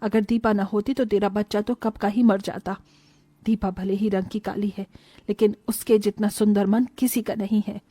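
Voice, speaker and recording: A woman's voice: mid-range voice, flat delivery, normal speed, dark tone, no echo, lightly acted. A stern mother-in-law from a cartoon moral tale, acted by a woman. She sounds emphatic and scolding, full of worry, in a very dry recording. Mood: stern, reproachful. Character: stern, worried mother-in-law and grandmother of the family. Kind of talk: animated Hindi moral story dialogue.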